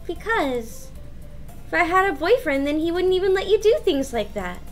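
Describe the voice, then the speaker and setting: A woman's voice: very high-pitched, very animated, moderate pace, faint music behind. A woman voicing a sweet, playful, childish teenage schoolgirl with a cutesy, teasing manner in a visual novel. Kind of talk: visual novel read-aloud.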